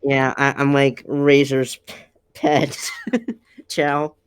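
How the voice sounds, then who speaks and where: A man's voice: mid-range voice, level tone, drawn-out words. A man chatting casually on an online panel, moderating and speaking as a voice actor in a hesitant, polite way. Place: online panel over voice call.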